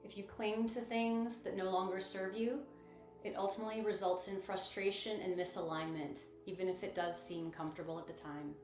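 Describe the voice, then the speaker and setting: A woman narrates a guided meditation in a mid-pitched voice. Her delivery is measured and instructive, with gentle encouragement. The sound is noticeably roomy, with music underneath. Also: fairly even intonation. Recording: roomy echo with music behind.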